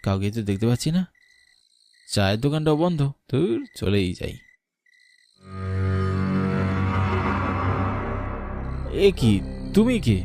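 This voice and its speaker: A man voicing a condescending, easily spooked investigating officer in a horror cartoon, with an expressive, dramatic delivery. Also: medium pitch, fairly quick, over loud music. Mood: puzzled, uneasy.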